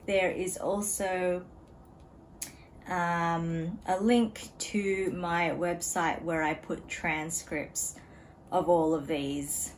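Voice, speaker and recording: Woman hosting a home knitting vlog; slow, easygoing delivery, slightly low voice. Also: slight background noise, rough recording. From Australia. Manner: relaxed, chatty, frequent ums and small laughs. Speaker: knitting vlogger. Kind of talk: casual chat to camera. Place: home room beside a desk.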